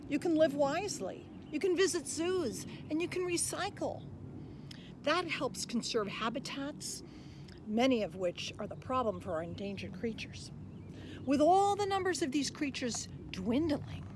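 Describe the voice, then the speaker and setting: Animated woman reading aloud, higher-pitched. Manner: animated, addressing young listeners directly. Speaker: read-aloud presenter. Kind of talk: expressive children's picture book read-aloud. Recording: clear background noise, animal sounds.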